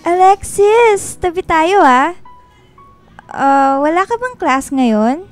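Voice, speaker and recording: Radio drama: actress as bubbly female college student, high voice, sweet, cheerful, friendly, soft music bed. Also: animated, takes it slowly, full character voice. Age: young adult. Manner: cheerful, eager greeting style.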